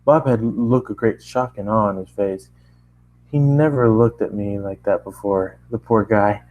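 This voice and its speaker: A man's voice: fairly low pitch, measured pace, darkish tone, dull audio. A man narrating a first-person fan fiction story aloud, often stumbling and repeating phrases as he reads.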